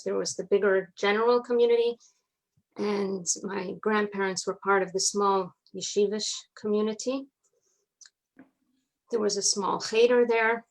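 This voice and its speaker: A woman's voice: mid-range voice, rough recording. Woman, North American accent, mid-range voice, interview guest, conversational personal storytelling. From North America (New York, Atlanta, Montreal).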